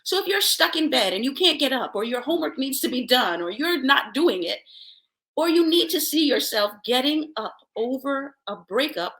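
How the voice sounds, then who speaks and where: A woman's voice: sits high, expressive, moderate pace, fairly bright tone, low-quality recording. A woman hosting a self-help show, giving a motivational talk to viewers with personal stories, in an emphatic way that sometimes drops toward a whisper.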